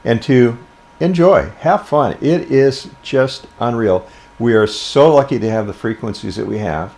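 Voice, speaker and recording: An older man giving an informal instructional talk about a hobby, speaking plainly and with deliberate phrasing. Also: mid-range voice, a little mellow. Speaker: amateur radio presenter.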